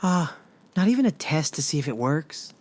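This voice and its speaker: A woman voicing a playful young male satyr in a storybook reading, cheeky and wheedling, pitched well below her own voice and swooping up and down. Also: sing-song, normal speed, darkish tone, no echo.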